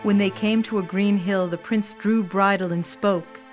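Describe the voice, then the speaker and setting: A woman's voice: mid-range voice, steady tone, moderate pace. A woman storyteller reading a scripted fairy tale for children, with music behind her voice.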